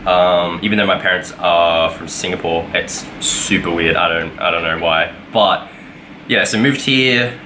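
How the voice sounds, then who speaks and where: A man's voice: deep voice, measured pace, noisy background. A young man giving a casual weekly vlog update, rambling with lots of fillers and self-corrections. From Australia. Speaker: vlogger.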